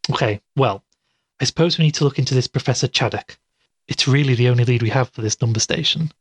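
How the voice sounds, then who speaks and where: An actor playing an earnest, curious podcaster investigating a mystery in an audio drama, with a husky voice. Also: male voice, medium pitch, quick pace, dry recording, close to an everyday voice. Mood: thoughtful. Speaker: podcast host. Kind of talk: audio drama dialogue and narration.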